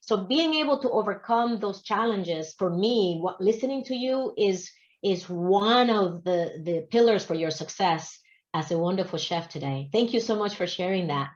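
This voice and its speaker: A woman's voice: medium pitch, animated, normal speed. A woman in her forties moderating a panel over a video call, affirming and summing up her guests' stories. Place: home office with bookshelves. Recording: dull lo-fi video call audio.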